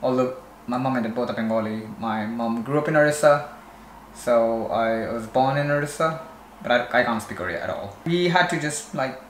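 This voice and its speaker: A man from India with an Indian accent, chatting in a relaxed, conversational way as a vlog co-host. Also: mid-range voice, moderate pace, a little hiss or hum. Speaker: vlogger co-host. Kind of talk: casual chat. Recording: echoey room with faint ambience.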